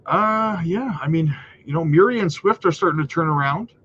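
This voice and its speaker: A slightly nasal man with a somewhat high voice runs a casual market livestream, chatty and hyped, keeps addressing his viewers as guys. The audio is dull and lo-fi, with faint noise underneath. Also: animated, moderate pace, fairly bright tone. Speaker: crypto market livestream host.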